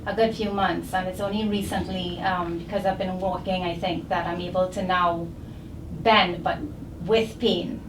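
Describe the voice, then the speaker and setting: A woman from Barbados with a Barbadian accent, speaking as a patient recounting her medical history in halting short phrases. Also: fairly low pitch, steady tone. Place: indoor chiropractic clinic. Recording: echoey noisy room, distant mic.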